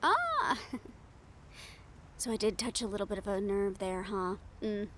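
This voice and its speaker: A woman's voice: medium pitch, huge pitch swings, unhurried, fairly bright tone, slight background noise. A woman playing a brash, cynical, foul-mouthed reporter in an improvised audio roleplay, with a blunt, sardonic manner. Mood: teasing, wry.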